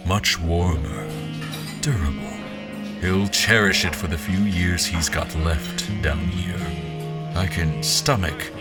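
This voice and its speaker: A man's voice: sits low, steady tone, takes it slowly. A man playing a wary, brooding general who narrates his own fantasy tale with a wry, literary turn. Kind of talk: scripted first-person fantasy narration. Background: music.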